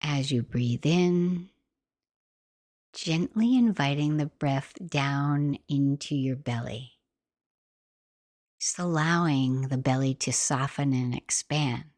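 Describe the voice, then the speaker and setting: Slow, low-voiced woman guiding meditation. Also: no echo, clean studio sound. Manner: gentle, soothing, unhurried. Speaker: meditation guide. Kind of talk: guided meditation, soft instructional narration.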